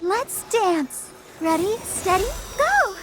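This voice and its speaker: Little fairy sidekick, game character, squeaky, unhurried pace, hugely animated, curious. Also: female voice, full character voice. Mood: excited. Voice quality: squeaky, childlike. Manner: exclamatory, exaggerated reactions. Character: tiny childlike fairy companion, bubbly, curious, excitable. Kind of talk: video game voice acting. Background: game music.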